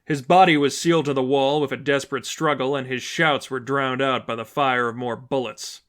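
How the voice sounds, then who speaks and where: A man's voice: mid-range voice, steady tone, moderate pace, a bit bright. A man reading as narrator, delivering scripted fiction in a storytelling style.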